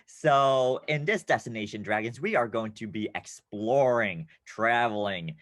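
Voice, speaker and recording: A man's voice: mid-range voice, unhurried, dry recording, studio quality. Upbeat man teaching a class lesson. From United States. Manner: upbeat, playful, with bursts of excitement. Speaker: teacher presenting a class video.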